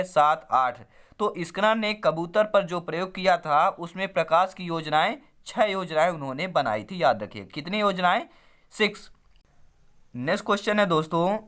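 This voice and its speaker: A man with a throat hoarse from a cold, teaching an online exam lesson by reading quiz questions and options, then repeating the answers to viewers in a familiar way. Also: sits high, a bit bright.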